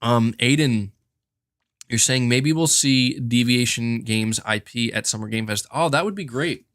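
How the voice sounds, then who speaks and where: A man's voice: mid-range voice, measured pace, fairly bright tone, no echo, studio quality. A man hosting a gaming podcast, talking casually and emphatically and repeating words to drive his points home. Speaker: podcast host. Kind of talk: casual gaming commentary, answering chat comments.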